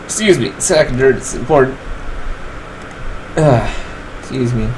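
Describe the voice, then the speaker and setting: A man's voice: mid-range voice, measured pace, background noise. A man of twenty chatting casually over his game, rambling with lots of fillers as he decides what to craft. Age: 20s. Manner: rambling, filler-heavy, relaxed. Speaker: gaming channel host. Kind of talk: casual gameplay commentary.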